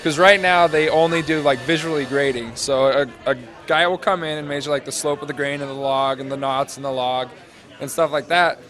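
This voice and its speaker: A man in his twenties, a student interviewee, explaining a project off the cuff in casual, halting phrases into a handheld mic. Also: on the high side, steady tone. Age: 20s. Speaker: interviewee, senior engineering student presenting a project. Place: large glass-walled university hall or lobby. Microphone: handheld interview microphone held out to him.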